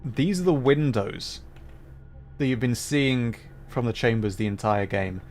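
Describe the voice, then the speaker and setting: A man's voice: medium pitch, unhurried, darkish tone, a little hiss or hum. A man giving casual live game commentary and chatting to viewers as a streamer. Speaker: livestreamer playing a video game.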